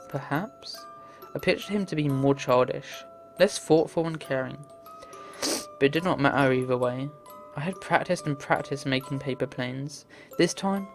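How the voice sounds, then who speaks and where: A man reading visual novel text aloud in an earnest, theatrical way as a game playthrough host. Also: medium pitch. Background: game music.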